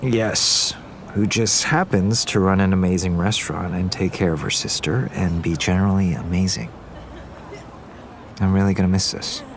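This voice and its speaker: Earnest young man, lowish voice. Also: normal speed, noisy background. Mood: fond. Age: young adult. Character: earnest, smitten young Korean businessman, gently wry. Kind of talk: naturalistic fiction podcast acting.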